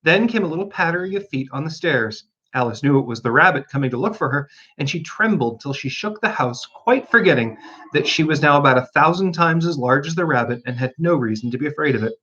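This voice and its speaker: A man narrates a storybook as an audiobook reader. His voice is on the high side and very animated, with expressive delivery. The recording is slightly dull and lo-fi. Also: fairly quick, breathy edge.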